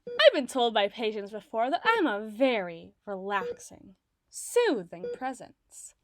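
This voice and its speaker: A woman playing an obsessive, doting doctor in an ASMR roleplay, speaking softly and articulately with theatrical tenderness. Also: fairly high pitch, wildly swooping pitch, a little slow, no room sound, studio quality. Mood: soothing, coy. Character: obsessive, doting yandere woman doctor, soothing but possessive. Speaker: audio roleplay voice performer.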